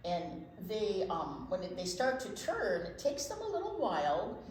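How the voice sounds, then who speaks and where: American lady reading to little kids, really animated pitch, asks them questions, kinda echoey room. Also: a little slow. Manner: asks the listeners simple questions. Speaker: children's storytime teacher. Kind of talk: picture-book read-aloud with chatty asides to young children. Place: indoors in a library. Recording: echoey room with faint background noise.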